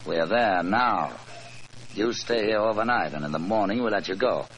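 A man's voice: on the low side, moderate pace, fairly bright tone, natural voice. An American man playing a cold, mocking gangster boss in a 1940s radio crime drama, tough and slangy. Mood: mocking menace. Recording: vintage 1940s radio recording, faint noise.

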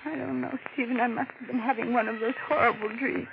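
An actress playing a frightened, distraught wife in a 1940s radio drama, with a halting, breathless, stammering delivery. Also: female voice, on the high side, fairly even intonation, creaky. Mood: anxious, hesitant. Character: frightened, distraught young wife suspecting murder. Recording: noisy, muffled vintage radio recording.

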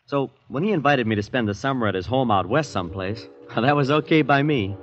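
A man's voice: medium pitch, expressive, a little fast, a little hiss or hum, natural voice. An actor playing an impressionable twenty-year-old Missouri prep school boy in folksy, chatty 1940s radio drama style. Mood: reminiscing. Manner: folksy, chatty, wide-eyed. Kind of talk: 1940s radio acting, first-person narration and dialogue. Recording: dull old radio recording with music.

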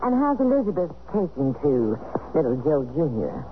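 A woman's voice: low-pitched, sing-song, normal speed. An actress playing a bold, knowing single woman who flirts and needles, in 1970s radio drama style. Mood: needling. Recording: muffled, noisy old radio broadcast recording.

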